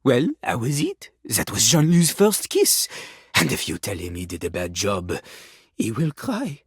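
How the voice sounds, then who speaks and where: A husky French-accented male charmer from a video game, vain and theatrical. The delivery is slow and grandiose with big melodic swings. The recording is close and dry. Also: rumbling low, huge pitch swings, dry recording, heavily acted. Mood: suave, flirtatious. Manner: grandiose, flirtatious, speaks of self in third person. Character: vain, dramatic French toilet, a male aspiring rapper.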